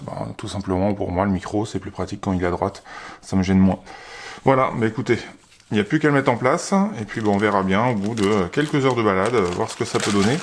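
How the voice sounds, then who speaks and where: A man giving a casual, unscripted product unboxing, informally thinking aloud as he handles the item. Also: fairly low pitch.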